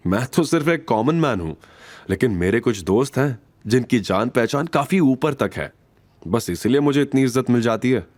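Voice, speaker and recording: A man voicing a calm, magnanimous young chairman in an audio drama, composed and gently authoritative. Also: medium pitch, normal speed, natural voice. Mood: reassuring. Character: calm, magnanimous young male chairman hiding his wealth. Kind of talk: Hindi audio drama dialogue.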